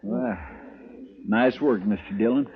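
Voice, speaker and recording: A man acting a dutiful, homespun deputy in a 1950s radio western, husky and deferential. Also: mid-range voice, a little slow, natural voice. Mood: admiring. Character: dutiful, eager male marshal's deputy. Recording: muffled 1950s radio recording.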